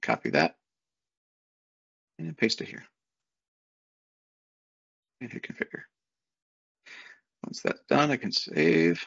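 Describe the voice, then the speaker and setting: Gravelly husky man, casual tutorial. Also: fairly low pitch, very animated, slow, long pauses, a bit bright, no room sound. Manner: casual step-by-step narration with fillers. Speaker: software consultant. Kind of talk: screen-share tutorial walkthrough. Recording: dull lo-fi dry sound.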